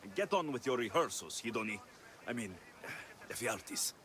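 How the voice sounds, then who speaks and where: An actor playing a confident, wry young Greek mercenary hero in a video game, speaking in a direct, assured manner. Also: male voice, mid-range voice, expressive, moderate pace, natural voice. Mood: persuasive. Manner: direct, assured, dryly teasing. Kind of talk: video game cutscene acting.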